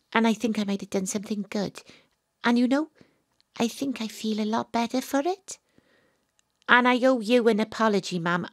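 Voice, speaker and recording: A woman's voice: medium pitch, no echo, studio quality, close to an everyday voice. An actress playing an earnest, emotional teenage girl in an audio drama. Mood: tender, relieved. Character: earnest teenage girl narrating her ghostly adventure, emotional. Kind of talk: audio drama monologue and dialogue.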